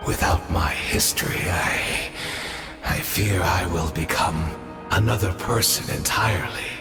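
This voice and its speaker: A man voicing an ancient, eroded knight-instructor in a video game, courteous and wistful with a quiet menace, speaking formally and haltingly in a gravelly, breathy voice. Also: low-pitched. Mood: mournful, pleading. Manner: slow, halting, formal, measured. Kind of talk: video game dramatic voice acting. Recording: echoey cavernous reverb, music behind.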